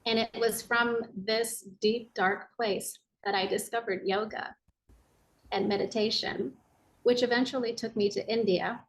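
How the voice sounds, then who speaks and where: A woman raised in Japan with an American accent, telling her personal story as a guest in an interview, earnest and reflective with soft hesitations. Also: fairly high pitch, fairly even intonation, a little slow, slight background noise, poor audio.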